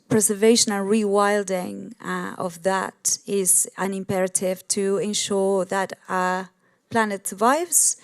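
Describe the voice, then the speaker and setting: A middle-aged woman with an Argentine accent, a native Spanish speaker, talking as a guest in a panel discussion. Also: medium pitch. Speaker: panel guest, sustainability entrepreneur. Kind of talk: panel discussion, introducing own work.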